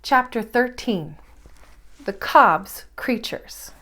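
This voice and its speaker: A woman reading a children's story aloud as a storyteller, with expressive, measured phrasing and dramatic emphasis. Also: on the high side.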